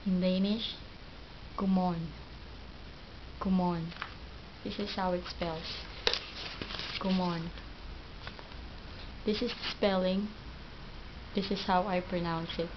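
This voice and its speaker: Filipino woman giving a homemade phrase lesson, flat careful delivery, muffled recording with hiss behind. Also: lowish voice. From Philippines. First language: Tagalog. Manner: slow, halting, repeats each word twice. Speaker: language teacher. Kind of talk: home video language lesson. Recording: dull lo-fi home video with background noise.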